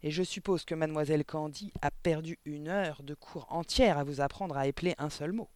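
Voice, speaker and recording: Un homme qui lit un livre pour enfants en incarnant une directrice colossale, tyrannique et méprisante, au ton aboyé et sarcastique. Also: male voice, a little high, a little fast, dry recording, natural voice. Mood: sarcastic, contemptuous. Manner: barking, thundering, sarcastic. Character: huge tyrannical headmistress, scornful, bullying, barking. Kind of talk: storybook reading.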